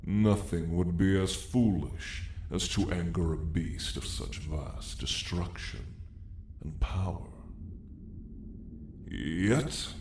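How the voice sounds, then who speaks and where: A man voicing an ancient, arrogant dragon roused in his lair, speaking with slow, imperious menace in an ASMR roleplay story. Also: low voice, pitched below the performer's own voice, full character voice. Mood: suspicious, brooding. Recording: echoing cavernous sound, faint noise.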